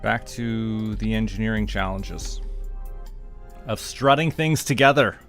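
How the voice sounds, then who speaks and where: Male game streamer, lowish pitch, very slow halting delivery, relaxed and musing to himself. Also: soft background music. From Canada. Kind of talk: relaxed live gameplay commentary, thinking aloud.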